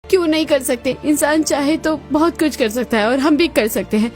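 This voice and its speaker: A woman's voice: sits high, fairly quick, darkish tone, faint music behind, natural voice. A woman voicing a young, village-raised daughter-in-law in a cartoon, earnest and insistent in her speech. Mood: indignant.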